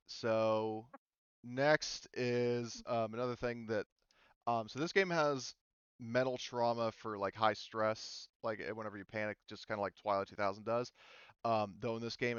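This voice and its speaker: A man acting as game master, casually explaining tabletop game rules in a halting, informal way over a slightly lo-fi voice chat. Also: medium pitch, smooth, no echo.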